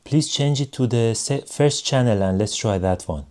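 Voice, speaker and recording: A man giving a casual hands-on product review in measured, non-native English phrasing. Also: mid-range voice, mellow, a little hiss or hum. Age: adult.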